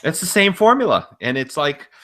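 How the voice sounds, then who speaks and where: A man's voice: on the high side, sing-song, moderate pace, fairly bright tone. A man co-hosting a podcast, giving emphatic, opinionated commentary on wrestling in a casual conversational style. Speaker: podcast co-host.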